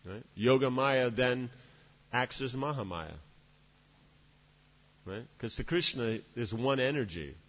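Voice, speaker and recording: A man's voice: mid-range voice, takes it slowly, pauses often. Man, devotional lecture, rambling asides, long hall reverb, muffled lo-fi sound. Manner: discursive, many "you know" and "right?" asides. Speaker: spiritual teacher. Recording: dull reverberant hall recording.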